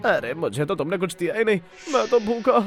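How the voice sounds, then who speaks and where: A man's voice: high-pitched, big pitch swings, a little fast, soft background music. Plaintive talking donkey, voiced by a man. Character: hungry, anxious male donkey companion.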